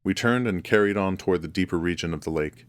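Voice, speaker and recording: A man's voice: low voice, quick pace, no room sound. A man reading a scripted first-person horror story as its narrator. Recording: music bed under the voice. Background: background music.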